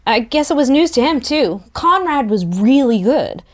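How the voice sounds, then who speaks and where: Expressive woman reading a story. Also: high voice, normal speed. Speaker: narrator reading a novel in a table read. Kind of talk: relaxed first-person audiobook narration.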